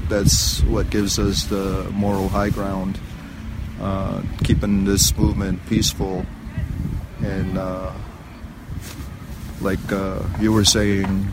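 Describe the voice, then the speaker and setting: A man from Lebanon answers interview questions outdoors in a low voice. His delivery is flat, earnest and measured, with hesitant pauses. Loud wind noise runs through the recording. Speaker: activist interviewee, prayer camp member. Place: outdoors near a river.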